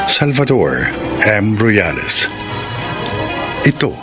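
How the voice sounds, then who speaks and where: A Filipino man announces a radio drama in a formal, deliberate way. He speaks very slowly with an animated, swinging delivery. Music plays clearly behind him. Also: on the low side. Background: prominent music.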